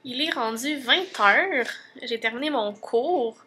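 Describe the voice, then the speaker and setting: A woman's voice: a little high, slow pace, pushed and bright. Chatty Québécois woman with a cold. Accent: Québécois French. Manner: chatty, many fillers like "fait que" and "tu sais". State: congested from a cold. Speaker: vlogger. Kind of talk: casual vlog diary.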